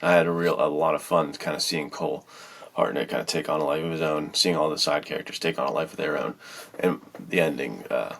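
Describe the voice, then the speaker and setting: Man, very low voice, informal enthusiastic chat, podcast author. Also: quick pace, vocal fry, a bit bright, a little hiss or hum. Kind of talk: casual chat.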